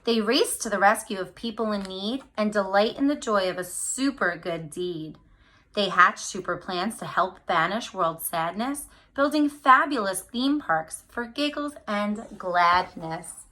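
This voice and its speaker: A woman reading a picture book aloud to children, speaking to them directly with animated, drawn-out emphasis on key words. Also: mid-range voice, poor audio. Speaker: read-aloud storyteller for children.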